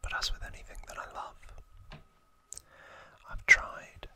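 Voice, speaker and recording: Male ASMR host, thirties: breathy whisper, very unhurried, gentle, with pauses between short phrases. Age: 30s. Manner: slow, hushed, gentle delivery with pauses. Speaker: host of an ASMR video channel. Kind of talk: ASMR trivia talk, whispered.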